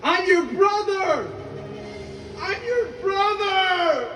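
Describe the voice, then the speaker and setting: A man voicing an earnest, pleading young favored son in an animated film. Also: very high-pitched, drawn-out words, background noise, exaggerated voice. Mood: desperate pleading. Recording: echoey, distant film audio with music.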